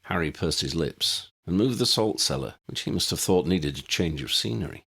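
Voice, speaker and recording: Man, audiobook narrator, scripted storytelling, lowish voice, animated intonation. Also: normal speed, vocal fry, slightly rough.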